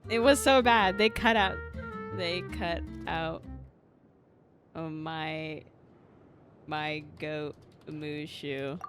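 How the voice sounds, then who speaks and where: A woman's voice: low-pitched, steady tone, drawn-out words, long pauses. A young woman live streaming a game with casual, slangy, sweary commentary, often repeating her phrases, with game music behind her. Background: video game music.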